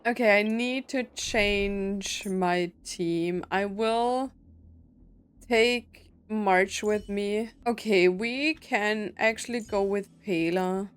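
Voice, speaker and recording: A woman's voice: medium pitch, slow pace, clear, resonant tone. A woman giving casual commentary as she plays a game, informal and reactive with short exclamations. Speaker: gaming streamer. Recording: game music behind the voice.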